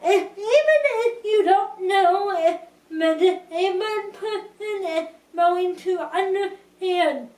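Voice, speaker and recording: Young woman vlogger, very slow deliberate delivery, roomy distant sound. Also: extremely high pitch. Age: young adult. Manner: slow, careful speech with restarts. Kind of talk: casual talk to camera.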